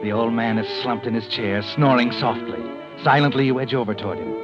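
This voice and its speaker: An actor playing a sardonic, ominous narrator who tells an old-time radio mystery in the second person. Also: male voice, medium pitch, a little fast, natural voice. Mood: ominous, suspenseful. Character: sardonic male narrator, cool, ominous voice of conscience. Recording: muffled old radio recording, music behind.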